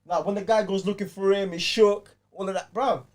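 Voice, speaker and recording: A man's voice: sits high, steady tone, fairly quick. A man with a London accent hosting a casual film chat, slangy and repeating phrases for emphasis. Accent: London British. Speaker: podcast host. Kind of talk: casual panel chat about films.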